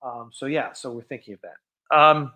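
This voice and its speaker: A man's voice: mid-range voice, moderate pace, pushed and bright. A man hosting a live stream, chatting casually and off script in a rambling, enthusiastic way.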